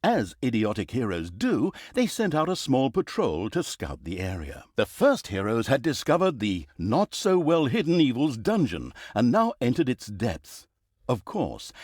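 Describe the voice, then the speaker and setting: A male storyteller for a comedic fantasy game, pompous and sardonic. His mid-pitched voice swings dramatically up and down as he moves between mock-epic grandeur and wry, self-aware asides. The sound is very dry, with no room around it. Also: darkish tone, dry recording. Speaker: game narrator.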